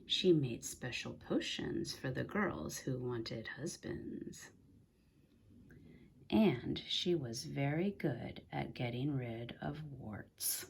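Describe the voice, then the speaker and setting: A woman reading a children's story aloud in an expressive, deliberate way, softening at the ends of phrases. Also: rumbling low, vocal fry, a little hiss or hum. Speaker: story reader.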